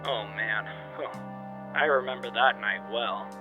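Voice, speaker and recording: A man's voice: mid-range voice, a little slow, music in the background, natural voice. A man playing a rattled young man confiding in his friends in an audio drama, speaking hesitantly over a muffled line. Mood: astonished.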